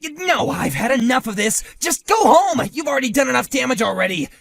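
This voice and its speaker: A man doing a shrill blustering bully husband. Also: expressive, fast talker, no room sound, soft background music, lightly acted. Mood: furious. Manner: shouting, whiny, stammering when cornered. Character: hotheaded male bully husband, panicky and blustering. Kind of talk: one-man voiced drama.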